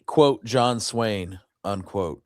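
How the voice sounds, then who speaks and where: A man with a lowish voice hosts a relaxed-format chat show. He speaks informally and conversationally, recorded close with no room sound. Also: very slow, dry recording. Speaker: show host. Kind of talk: casual chat.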